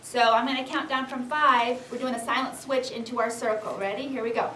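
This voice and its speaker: A woman speaks in a fairly high voice as a teacher guiding small children. She gives instructions and questions in an encouraging manner. The room is echoey, with faint noise behind her and the mic some way off. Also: fairly even intonation, fairly quick, sharp tone, distant mic. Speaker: first-grade teacher leading a class discussion. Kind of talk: classroom instruction to young children. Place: indoor elementary school classroom.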